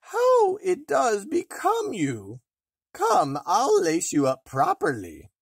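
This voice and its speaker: A man's voice: high voice, huge pitch swings, takes it slowly, gravelly, slightly rough, no room sound, clean studio sound, voice pushed up, full character voice. A man voicing a wicked queen disguised as an old peddler woman, sly and coaxing in a sing-song way. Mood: coaxing, gushing.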